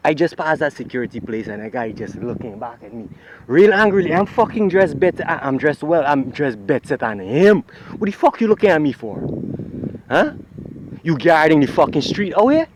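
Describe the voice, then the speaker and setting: A man rambling in an agitated, profane monologue while walking outdoors on a city street. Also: medium pitch. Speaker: vlogger. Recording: street traffic noise behind.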